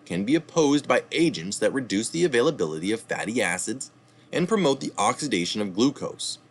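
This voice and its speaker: A man reading a health article aloud, pausing to add citation asides and correct his own slips. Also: lowish voice, slight background noise. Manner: reads steadily, adding asides and correcting slips.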